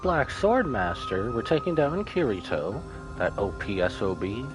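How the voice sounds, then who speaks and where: A man's voice: lowish voice, huge pitch swings, unhurried. A man live-streaming a game, reacting casually with playful, slangy commentary. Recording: game music behind the voice.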